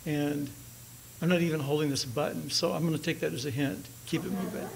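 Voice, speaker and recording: A man's voice: on the high side, fairly even intonation, fairly quick, vocal fry, a little hiss or hum, low-quality recording. An older man, a company executive, giving a conference presentation on stage at a podium microphone, with dry, chatty jokes. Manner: dry joking, loose and chatty. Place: large auditorium stage.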